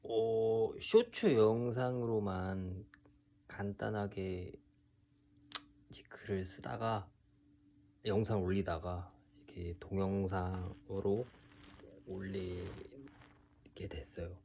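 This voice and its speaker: A man in his early thirties giving an unscripted personal monologue, speaking haltingly with hesitant pauses. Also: low voice, mellow, lo-fi sound, a little hiss or hum. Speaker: video creator telling his own story.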